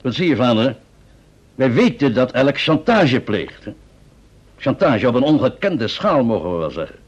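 An actor with a Netherlands Dutch accent playing a doubtful, probing senior police chief in an old radio play, formal and deliberate. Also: male voice, mid-range voice, sing-song, breathy edge. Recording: dull, muffled old radio recording.

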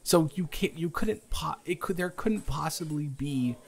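A man acting an earnest, reassuring young suitor on a date in an improvised romantic audio roleplay, speaking haltingly with stammers. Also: fairly high pitch, creaky, breathy edge, exaggerated voice.

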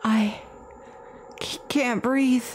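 A woman voicing a frightened teenage schoolboy trapped in a horror game, speaking in halting, panicky phrases. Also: on the high side, fairly even intonation, a little hiss or hum. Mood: panicked, strained.

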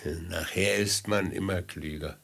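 A man's voice: low-pitched, fairly even intonation, measured pace, gravelly, fairly bright tone, husky, heavily acted. An actor playing a wistful, sly male companion in a 1950s radio play, speaking huskily in a musing, conversational way.

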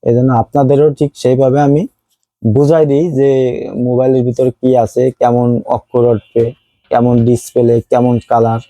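A man's voice: medium pitch, little pitch movement, moderate pace, warm tone, no echo, rough recording. A man with a Bangladeshi accent giving a casual phone unboxing review as a seller, explaining things and repeating his points.

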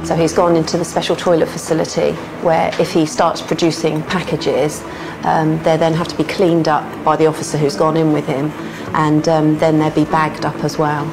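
A British woman speaks as an airport officer in a fairly low voice. She is matter-of-fact and official, recorded on location with loud music underneath. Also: little pitch movement, normal speed, few pauses, poor audio. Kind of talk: plain official questioning and explaining. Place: indoor airport rooms and corridors.

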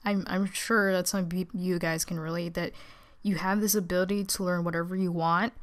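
A teenage girl with a naturally low voice, tired and subdued, giving a casual, rambling, hesitant update as a vlogger. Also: fairly even intonation, normal speed. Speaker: video channel creator.

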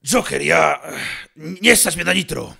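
A man playing a grumpy, self-important masked vigilante in a comedy fan dub, gruff and indignant. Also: a little high, unhurried, music in the background. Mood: defensive. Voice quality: gravelly, forceful.

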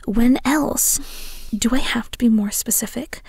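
An actress plays a possessive, jealous young woman in an audio drama. Her high voice is breathy and often whispery, and she takes her words slowly. She sounds proud but insecure. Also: darkish tone, no echo, heavily acted. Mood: accusing. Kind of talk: scripted roleplay audio drama.

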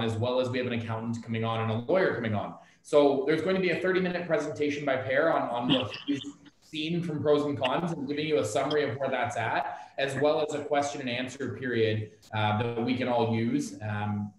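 A man in his thirties gives an earnest office update on a video call, doubling back on his words as he talks. His voice has an ordinary middle pitch. He sits back from the laptop, and the meeting room fills the sound with heavy echo. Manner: earnest, repeats words, restarts often. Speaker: office staff presenting an update. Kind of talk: casual meeting presentation. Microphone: laptop camera on video call, seated back from it. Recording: very echoey room, off-mic.

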